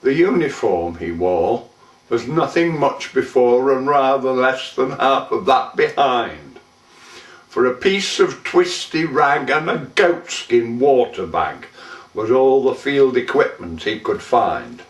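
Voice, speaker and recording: Guy doing a gruff british empire soldier, dramatic slow poem recital, sentimental, echoey room. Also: medium pitch, big pitch swings. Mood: reminiscing. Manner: theatrical, deliberate, with shouted calls and drawn-out phrases. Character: rough British colonial soldier recalling war, gruff and sentimental. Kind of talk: dramatic poetry recitation, monologue. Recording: echoey room, distant microphone.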